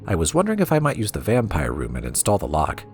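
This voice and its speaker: Man reading as a calm young woman student, low-pitched. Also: soft background music. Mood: calm, polite. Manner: calm, polite, measured. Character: quiet, studious young woman lockpicking student.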